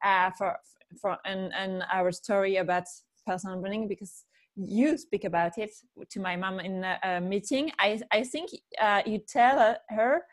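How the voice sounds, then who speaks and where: A woman's voice: mid-range voice, steady tone, normal speed. A French woman hosting an interview, speaking hesitantly and searching for her words. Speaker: host. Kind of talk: interview, casual.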